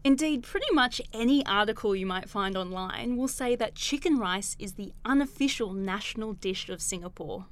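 A woman co-hosting a food podcast, reading scripted narration in a measured, explanatory way. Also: mid-range voice, lively intonation. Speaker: podcast co-host.

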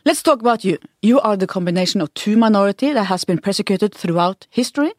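A woman's voice: mid-range voice, lively intonation, quick pace, no room sound, studio quality. A woman interviewing a guest, asking direct and pointed questions.

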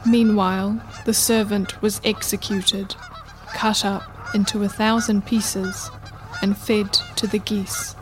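A woman reading scripted narration for a radio play in a measured, deliberate way. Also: mid-range voice, flat delivery.

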